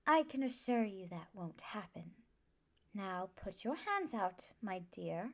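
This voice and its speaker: A woman playing a gentle, scholarly magic professor in an ASMR roleplay, patiently instructing a class in a measured, reassuring way. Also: mid-range voice, big pitch swings, gravelly, pitched below the performer's own voice, full character voice. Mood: soft, reassuring.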